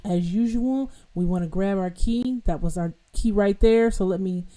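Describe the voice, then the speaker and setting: A woman's voice: mid-range voice, moderate pace, mellow, a little hiss or hum. A woman hosting a live-streamed piano lesson, chatting casually and directly with viewers, with loose fillers and restarts. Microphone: home streaming mic with adjustable level.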